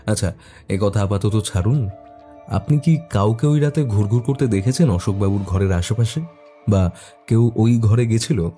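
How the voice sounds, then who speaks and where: A man's voice: on the low side, moderate pace, mellow, soft background music. A man reading a scripted detective story as narrator, also speaking the detective's questions.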